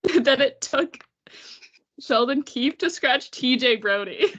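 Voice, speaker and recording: A woman co-hosting a casual podcast, congested and sniffly with a cold, chatting quickly with frequent laughs and sarcastic asides. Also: sits high, lively intonation, fairly bright tone, dull audio. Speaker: podcast co-host. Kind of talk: casual chat about hockey.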